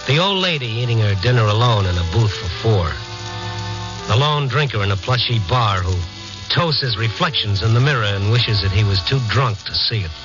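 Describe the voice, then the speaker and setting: An actor playing a world-weary, wry American newspaper reporter, narrating a 1950s radio noir drama in a moody, hard-boiled manner. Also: male voice, lowish voice, lively intonation, moderate pace, natural voice. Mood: brooding. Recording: muffled old radio recording with music.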